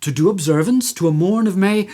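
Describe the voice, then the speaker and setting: A woman's voice: medium pitch, lively intonation, fairly quick, dark tone, lightly acted. A woman playing an ardent, proud young male lover, declaiming verse in a Shakespearean radio play. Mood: earnest, conspiring. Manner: declamatory, poetic verse delivery.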